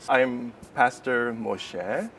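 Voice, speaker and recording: A man's voice: medium pitch, extremely slow, a bit bright, slight background noise. A man, a pastor, asking interview questions and listening attentively with frequent short replies. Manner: attentive, many short backchannels.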